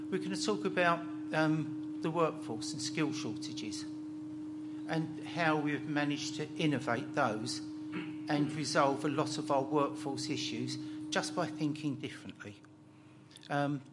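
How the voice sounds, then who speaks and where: A man's voice: on the high side, heavy background noise. A man in his sixties from Essex with an East London and South Essex accent, giving a chatty conference talk with dry, self-deprecating jokes. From Essex, England. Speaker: conference speaker on laboratory pathology. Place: conference hall.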